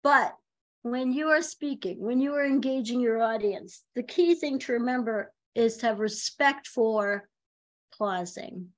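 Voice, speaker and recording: A woman in her fifties, a communication coach, giving chatty, animated speaking advice with a high voice over a video call from home. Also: measured pace. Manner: chatty and animated, laughs often. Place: home room, indoors. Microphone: video call webcam.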